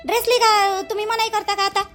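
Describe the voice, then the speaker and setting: A woman acts out a defiant young cartoon wife, very high and bright in voice. She argues quickly and indignantly in a Vidarbha Marathi accent, firing off rhetorical questions. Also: little pitch movement, faint music behind. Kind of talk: cartoon voice acting.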